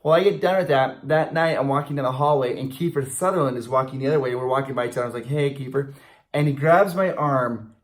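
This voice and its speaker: A middle-aged man co-hosting a casual fan question chat, telling stories in an animated way. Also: medium pitch, fast talker. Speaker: co-host of a theme park fan channel. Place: small indoor room, in front of a banner.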